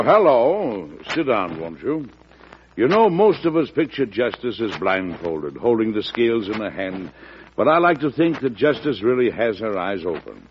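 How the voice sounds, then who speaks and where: Genial older president, played by a man, chatty, animated delivery, 1940s radio play, muffled old recording. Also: medium pitch, a little hiss or hum, lightly acted. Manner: chatty and folksy, thinking aloud. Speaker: president narrating his own story.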